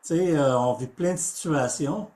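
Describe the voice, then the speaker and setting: A man, hosting a podcast and asking questions while pausing often to search for words. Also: on the high side, a little mellow. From Quebec. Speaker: podcast host. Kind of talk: casual podcast interview. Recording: echoey room.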